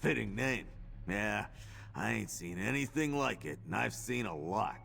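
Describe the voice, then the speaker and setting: An actor playing a gruff, wry, well-travelled adventurer in a video game, with a gravelly voice and blunt, folksy talk. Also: male voice, on the low side, animated, measured pace, a bit bright, soft background music, heavily acted. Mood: curious, wary. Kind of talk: video game character dialogue.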